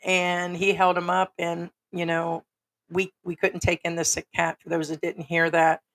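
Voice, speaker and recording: A woman's voice: on the low side, monotone, normal speed, a bit bright. A woman co-hosting a livestream, telling a story in a casual, rambling way with lots of fillers. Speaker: livestream co-host.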